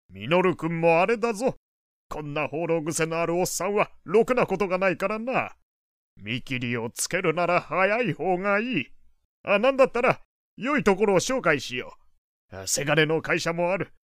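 Lively preachy old gentleman. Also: fairly high pitch, normal speed, dry recording, clean studio sound. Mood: chiding. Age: older. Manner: archaic elderly phrasing, lecturing. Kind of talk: Japanese audio drama acting.

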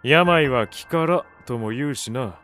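A blunt young man of the teasing sort. His mid-pitched voice carries rough, casual phrasing and some impatience, in the manner of audio drama. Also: animated, a little slow, fairly bright tone, soft background music. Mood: blunt, questioning. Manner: rough, blunt colloquial speech. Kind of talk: Japanese audio drama acting.

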